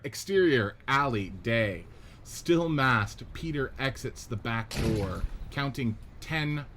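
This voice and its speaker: A man narrating the stage directions of a radio play script in a steady, descriptive way. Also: mid-range voice, takes it slowly, background noise.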